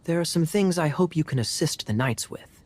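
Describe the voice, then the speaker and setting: A man voicing a smooth, wry knight captain with courtly manners and soft chuckles, for a game. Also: fairly high pitch, animated, moderate pace, a little hiss or hum, natural voice. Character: suave, scheming young knight captain. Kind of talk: game voice acting.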